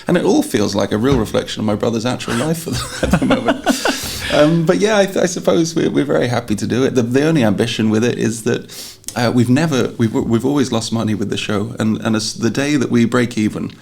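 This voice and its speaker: A man's voice: mid-range voice, creaky, darkish tone, slight background noise. A man chatting casually in an interview, laughing often and tripping over his words. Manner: quick, laughing, stumbles and repeats words. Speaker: performer and show director. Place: outdoors at a festival.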